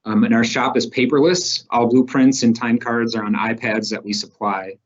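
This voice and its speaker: A man walks through company slides as a recruiter, in a plain, matter-of-fact way. His voice sits at an ordinary middle pitch. It comes over a muffled video call. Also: fairly even intonation, moderate pace. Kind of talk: slide presentation.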